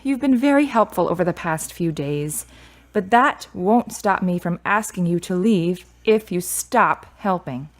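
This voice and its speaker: A woman's voice: fairly low pitch, expressive, a little slow. A woman playing a grieving, independent and prickly adult daughter in a radio drama, speaking in a curt, guarded way. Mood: irritated, firm.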